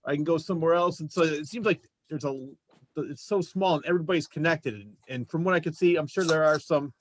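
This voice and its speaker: A man's voice: on the high side, a little fast. A man hosting a talk show, chatting casually and jokily with his guests. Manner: jokey, chatty. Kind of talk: casual interview chat.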